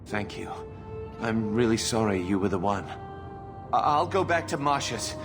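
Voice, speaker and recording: A man's voice: lowish voice, animated, normal speed, over music. A man playing an anxious, apologetic conspirator in a video game, speaking in an earnest, pleading way. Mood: apologetic fear. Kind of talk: dramatic video game acting.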